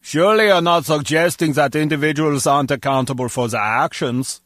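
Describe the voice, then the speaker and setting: A man's voice: fairly high pitch, fairly quick, fairly bright tone, no echo, studio quality, lightly acted. An actor playing a mild, inquisitive male guard in a video game, talking conversationally. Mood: curious. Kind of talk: video game character dialogue.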